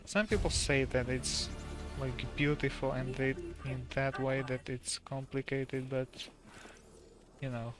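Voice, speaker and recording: A soft-spoken man with a Slovak accent, a native Slovak speaker, gives calm, casual commentary while streaming a game. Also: mid-range voice, slow pace, music in the background.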